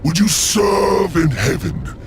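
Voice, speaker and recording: An actor voicing a grandiose fallen angel in a video game, portentous and persuasive, with a very gravelly voice. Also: male voice, high voice, very animated, takes it slowly, noisy background, exaggerated voice. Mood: grandiose tempting. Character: grandiose fallen male angel turned demonic tempter. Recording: loud music behind the voice. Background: music.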